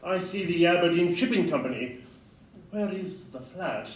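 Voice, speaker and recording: A distant, echoing live recording of a man acting a Victorian gentleman in an audio play. His voice is notably high for a man, and he speaks frankly and properly, with a wary edge. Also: expressive, normal speed. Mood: dubious. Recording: roomy, distant live pickup.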